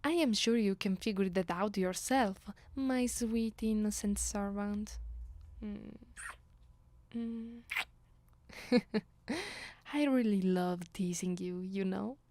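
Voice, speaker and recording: A woman's voice: mid-range voice, takes it slowly, darkish tone, lightly acted. A woman with an Italian accent playing a commanding, teasing vampire noblewoman in an ASMR roleplay, imperious and playful, often slipping toward a whisper. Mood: teasing, sultry. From Italy. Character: commanding, teasing, seductive aristocratic female vampire. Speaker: voice actress.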